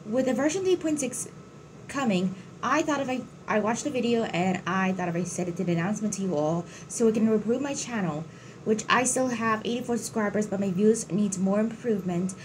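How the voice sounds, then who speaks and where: A woman hosting a gaming channel, giving casual playthrough commentary with a halting, stumbling delivery. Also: medium pitch, a little hiss or hum, rough recording.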